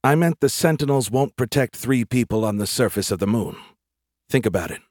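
A man's voice: medium pitch, expressive, a little fast, darkish tone, no room sound, studio quality, lightly acted. A man voicing a blunt, bossy woman soldier. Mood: lecturing. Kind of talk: audiobook character voice.

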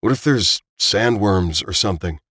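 A man's voice: lowish voice, animated, moderate pace, bright, forceful tone, husky, dry recording, studio quality, exaggerated voice. A man voicing a cocky but nervous guy with a casual, blunt manner in audiobook dialogue. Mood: uneasy.

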